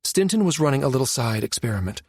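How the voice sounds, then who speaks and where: Male narrator as composed woman doctor, frank, measured explaining, science fiction audiobook, dry sound. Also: medium pitch, big pitch swings, a little mellow, slightly rough, no room sound, pitched above the performer's own voice. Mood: weary. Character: composed, frank female doctor, short blonde, military setting.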